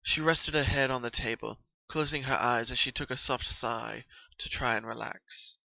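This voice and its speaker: A man reading a story as narrator, in an expressive, earnest dramatic style. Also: medium pitch, moderate pace, gravelly, dry recording, rough recording.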